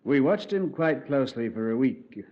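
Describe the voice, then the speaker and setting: An actor playing a composed Scotland Yard detective who narrates the case in a measured, politely ironic way, on a dull old radio recording. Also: male voice, medium pitch, lively intonation, close to an everyday voice. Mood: calm. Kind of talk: 1950s radio drama.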